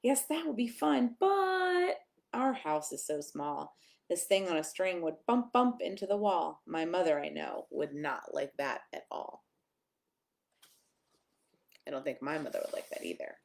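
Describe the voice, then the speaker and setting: A woman reading a picture book aloud at children's storytime, expressive and sing-song, with emphatic pauses and little asides to the listeners. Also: lowish voice, gravelly.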